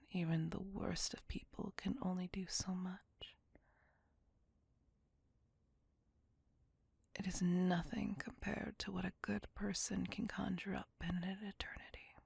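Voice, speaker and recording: A woman playing a centuries-old, possessive and tormented female vampire in an intimate ASMR roleplay, speaking in a breathy whisper with formal, archaic, tenderly menacing delivery. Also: fairly low pitch, dry recording, exaggerated voice. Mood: dark, brooding.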